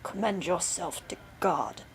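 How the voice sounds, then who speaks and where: A woman's voice: fairly low pitch, a little slow, a little hiss or hum, voice pushed down, natural voice. A woman voicing a merciless, menacing husband in a storybook tale, with stern, commanding threats. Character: menacing, merciless murderous husband with a great saber. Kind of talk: storybook character voice.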